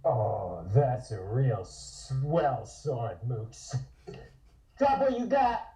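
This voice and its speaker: A man's voice: mid-range voice, sing-song, extremely slow, dark tone. A man playing an earnest, awkward young man at an online script table read. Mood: protective. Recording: muffled, echoey room.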